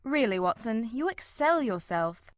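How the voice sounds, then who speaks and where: A woman playing a shrewd, self-assured male detective in a dramatized audiobook, with measured, condescending, precise delivery. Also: a little high, sing-song, no echo. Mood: approving, teasing.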